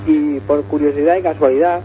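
A man's voice: fairly high pitch, fairly even intonation, fairly quick, soft background music. A man from chatting casually as a caller on a radio phone-in. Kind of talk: casual chat. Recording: muffled lo-fi phone call on radio.

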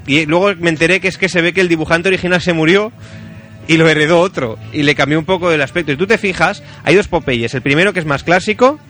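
A man from Spain hosts a joking radio chat in a high, forceful, bright voice. He is playful and teasing, given to exclamations. Music plays behind him. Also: fast talker. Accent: Spanish from Spain. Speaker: radio show host.

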